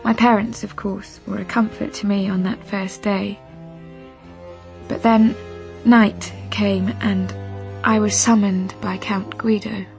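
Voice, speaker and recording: An actress playing a meek, submissive, very young bride in a radio drama, timid and polite in manner. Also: female voice, medium pitch, level tone, slow, creaky, a little mellow, faint music behind. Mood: sad recollection. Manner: timid, polite, brief replies.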